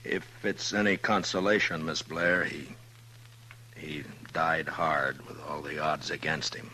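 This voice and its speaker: A man's voice: fairly even intonation, pushed and bright, heavily acted, low-quality recording. A man playing a grave, stoic Old West marshal in a radio western, speaking tersely and with restraint. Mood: grave, gentle. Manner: terse, low-key, halting when breaking bad news. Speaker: radio drama actor. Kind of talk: 1950s radio western acting. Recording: old radio recording with faint noise, hum.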